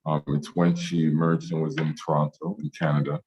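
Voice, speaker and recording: Deep-voiced Jamaican-born potter, halting speech, frequent restarts in an interview. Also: male voice, level tone, dull audio, background noise. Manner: halting, many fillers and restarts. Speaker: ceramic artist. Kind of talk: online meetup interview.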